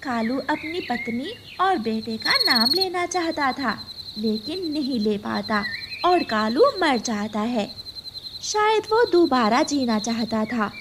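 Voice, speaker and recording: A woman's voice: high-pitched, expressive, slow pace. A woman giving scripted narration for a children's moral story. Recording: clear background noise, nature ambience.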